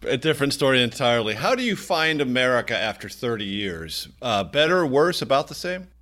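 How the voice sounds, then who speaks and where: A man hosting an interview show, speaking in brisk, polished host patter. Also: medium pitch, very animated, fairly bright tone. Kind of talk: radio-style interview hosting.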